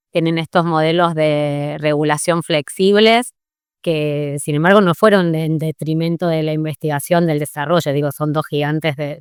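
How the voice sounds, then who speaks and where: A woman's voice: lowish voice, clean tone, dry recording, clean studio sound. An Argentine woman hosting a podcast interview, thinking aloud in a conversational way with some restarts. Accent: Argentine Spanish. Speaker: podcast host.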